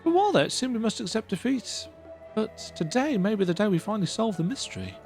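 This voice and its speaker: A man's voice: high voice, very animated, quick pace, darkish tone, faint music behind, voice pushed up. A man voicing an eager, polite bespectacled engineer who speaks in an effusive, formal way. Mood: excited. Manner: effusive, formal, breathless delight.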